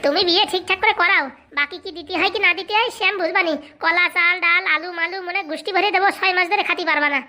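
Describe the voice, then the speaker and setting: Woman as a squeaky, cunning cartoon fixer guy. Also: bright, forceful tone. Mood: boastful, bossy. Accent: rural Bengali. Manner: brash, bossy, fast-talking. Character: cunning, boastful young male fixer, politician's assistant. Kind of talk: comic cartoon dialogue.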